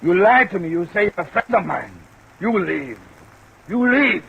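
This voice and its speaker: An actor playing an excitable, effusive French workingman with a French accent and broken English in an old radio drama. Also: high-pitched, moderate pace, vocal fry, husky, dull audio, full character voice. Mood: indignant, hurt. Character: excitable, expansive French-Canadian or French working man. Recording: old radio recording with faint hiss.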